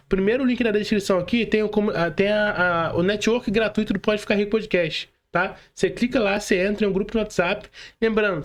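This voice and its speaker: A man with a Brazilian accent hosting a conversational podcast interview, informal and slangy as he addresses guest and audience. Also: high-pitched. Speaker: podcast host.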